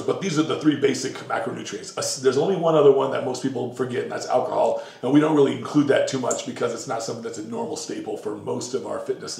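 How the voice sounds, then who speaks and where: A middle-aged man with a gravelly, mid-pitched voice talks casually and at length, stumbling over fillers and correcting himself as he goes. He is recorded from a few feet away in a home kitchen, and the room echoes around his voice. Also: darkish tone. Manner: rambling, many fillers and self-corrections. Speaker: diet coach guest. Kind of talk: casual explanatory talk. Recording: echoey kitchen, mic at a distance.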